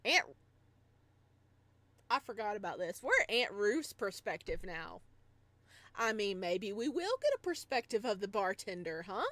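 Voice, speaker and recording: A woman's voice: medium pitch, sing-song, a little slow, long pauses, a bit bright, no echo. A bubbly, giggly woman hosting a livestream, chatting casually with viewers and reading a visual novel aloud. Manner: bubbly, giggly, rambling reactions to chat and story. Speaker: livestreamer and host.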